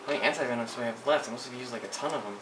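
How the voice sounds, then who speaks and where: A man's voice: medium pitch, rapid-fire. A young man giving live gameplay commentary, swearing and grumbling as he plays. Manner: profane, frustrated outbursts at the game. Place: indoors at a computer. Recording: echoey room, distant mic, noisy.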